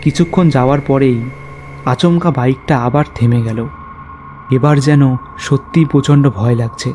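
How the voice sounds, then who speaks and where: A man playing a courteous, uneasy journalist who narrates his own horror story in an animated tale. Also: medium pitch, moderate pace, slight background noise, lightly acted. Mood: uneasy suspense. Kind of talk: first-person horror story narration and dialogue. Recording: faint music behind the voice.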